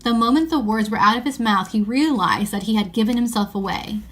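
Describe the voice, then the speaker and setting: A woman reading a children's novel aloud in an expressive storybook style. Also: a little high, a little fast.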